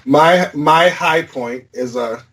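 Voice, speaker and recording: A man co-hosting a podcast, giving his views in an opinionated, measured way with some joking. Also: a little high, fairly bright tone. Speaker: podcast co-host. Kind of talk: casual chat.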